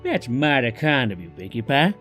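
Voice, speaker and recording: A man's voice: mid-range voice, very animated, normal speed, loud music behind the voice, close to an everyday voice. A man voicing a friendly young male cowboy pony in a fan audio drama, with a polite, folksy drawl.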